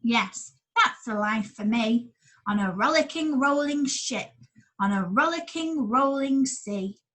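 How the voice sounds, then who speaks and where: A woman's voice: high-pitched, lively intonation, slow, fairly bright tone, heavily acted. A woman voicing a weary male farmer who speaks wistfully, in a children's storybook reading. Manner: wistful, dreamy.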